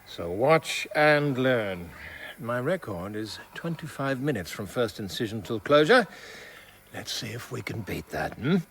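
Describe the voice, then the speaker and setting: Arrogant senior surgeon, lively swooping pitch, condescending, clipped, radio drama, faint background noise. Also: male voice, unhurried. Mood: smug, instructing. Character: arrogant, scornful senior surgeon, a grown man. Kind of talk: BBC radio drama acting.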